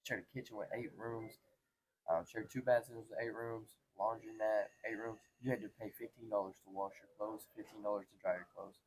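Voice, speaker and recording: A man's voice: lowish voice, flat delivery, a little fast, slight background noise, low-quality recording. A man in his twenties telling personal stories in casual, slangy talk, earnest and repeating phrases for emphasis. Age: 20s. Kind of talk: casual conversational storytelling.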